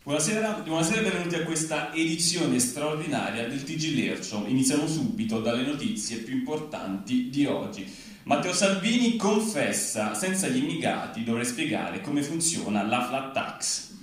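A man's voice: mid-range voice, expressive, normal speed. A man reading a satirical news bulletin live, with an emphatic newscaster delivery that drops into each punchline. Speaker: satirical newsreader on stage. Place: large echoey venue at a live reading event. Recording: very echoey hall, distant, faint noise.

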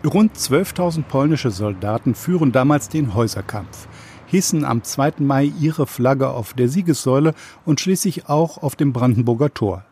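A male radio reporter reading a scripted portrait narration in a composed, even manner. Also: medium pitch, moderate pace, husky.

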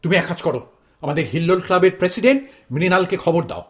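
A man playing a pompous, high-pitched Bengali husband. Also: quick pace, a bit bright. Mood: businesslike. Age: middle-aged. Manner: pompous, declamatory, hectoring. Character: self-important, indignant Bengali husband and father, zealous would-be protester. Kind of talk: stage-style radio play acting.

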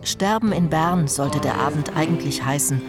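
A woman's voice: sits low, normal speed, loud music behind the voice. A woman reporter reading scripted narration for an arts feature.

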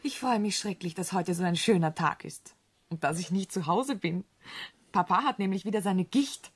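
An actress playing a candid, carefree young country girl in a German radio drama, effusive and direct, with a breathy voice. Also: on the low side, moderate pace. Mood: cheerful chatter.

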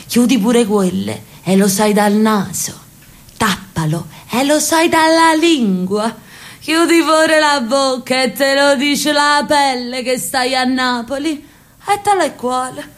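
An aging sister from Naples, fond and stubborn, coaxing her brother in a radio play. Her voice sits fairly high for a woman, with a lilt and warm insistence. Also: expressive, slow pace. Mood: fond, insistent. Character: elderly Neapolitan sister, insistent and affectionate. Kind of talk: radio drama acting.

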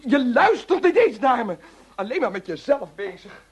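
Jittery, high-strung wife, stammering. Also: female voice, sing-song, moderate pace, husky, heavily acted. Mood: anxious, reproachful. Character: frightened, high-strung, bitter wife who drinks. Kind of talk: radio play acting. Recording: faintly noisy radio play recording.

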